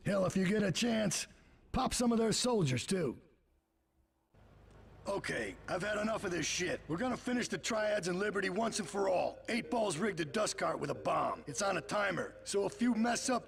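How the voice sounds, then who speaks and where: A brash mob lieutenant barks blunt orders in a video game. His voice is high for a man and heavily creaky, with a tough, wisecracking manner. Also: full character voice.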